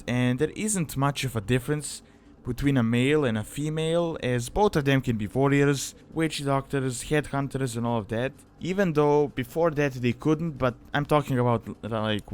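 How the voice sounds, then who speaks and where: Clear-voiced man narrating a casual guide. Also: medium pitch. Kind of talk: casual explanatory voice-over.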